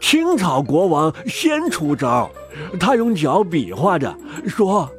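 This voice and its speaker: A man narrating a children's story in an expressive manner. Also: a little high, takes it slowly. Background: music.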